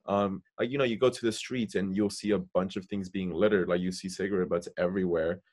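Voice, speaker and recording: A man with a very deep voice hosts a relaxed podcast conversation. He talks casually and hesitantly, leaning on fillers while he shapes his questions. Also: brisk. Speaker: podcast host.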